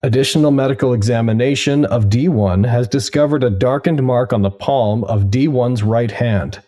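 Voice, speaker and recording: An actor playing a detached narrator who reads clinical case logs in a formal, deadpan way. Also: male voice, mid-range voice, moderate pace, natural voice. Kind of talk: scripted audio drama narration.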